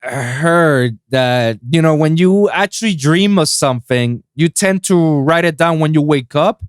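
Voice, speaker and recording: A man's voice: on the high side, lively intonation, unhurried, smooth, dry recording, clean studio sound. A man from Puerto Rico chatting casually as a podcast host, speaking informally and in slang. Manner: informal, says man and bro often. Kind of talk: casual chat.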